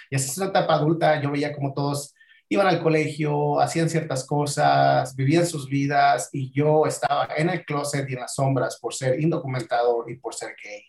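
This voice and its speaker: A man's voice: on the high side, a little mellow. Mexican man in an echoey room. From Acapulco, Mexico. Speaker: podcast guest. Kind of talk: personal storytelling in an interview.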